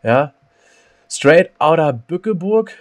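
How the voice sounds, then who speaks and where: A man's voice: fairly high pitch, animated, slow pace. A man co-hosting a casual podcast, joking around and breaking into sung snippets. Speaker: podcast co-host. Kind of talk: casual chat.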